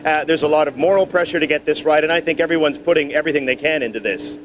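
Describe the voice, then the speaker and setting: A man's voice: a little high, fast. A man giving a live, unscripted news report from outdoors, explaining things as he goes and correcting himself. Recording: outdoor location report with clear noise.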